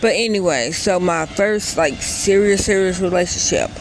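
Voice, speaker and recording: A woman's voice: on the low side, normal speed, slight background noise, low-quality recording. A woman in her late thirties telling a personal story in a casual vlog, rambling and often stopping to correct herself. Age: 30s. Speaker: vlogger.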